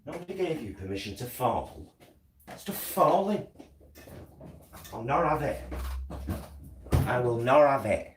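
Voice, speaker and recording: A man's voice: medium pitch, very animated, slow pace, long pauses, a little hiss or hum. A British man chatting casually in a rambling, hesitant way, as a vlogger talking at home. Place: indoors at home. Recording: echoey room.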